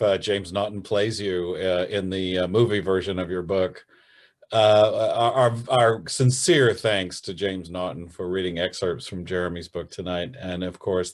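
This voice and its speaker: A man hosting a virtual interview, thanking his guests with frequent "uh" fillers and repeated words. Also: deep voice. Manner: warm thanks, hesitant fillers. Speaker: radio host moderating a virtual interview. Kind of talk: hosting a live online discussion.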